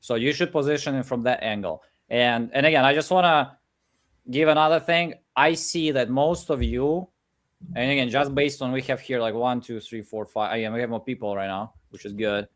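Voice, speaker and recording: Hombre con acento ucraniano, motivador. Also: male voice, mid-range voice, moderate pace. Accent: Ukrainian. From Ukraine. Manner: energetic, pep-talk delivery with many "like" and "again" fillers. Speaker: coach. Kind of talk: live coaching session. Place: home office in Ukraine. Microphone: video call.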